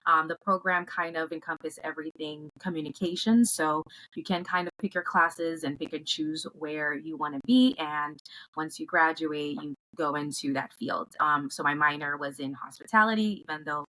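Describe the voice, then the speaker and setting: A woman's voice: low-pitched, steady tone, normal speed, sharp tone, rough recording. A woman in her twenties from Haiti, a native French and Creole speaker, chatting casually as a podcast guest. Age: 20s. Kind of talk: casual interview chat.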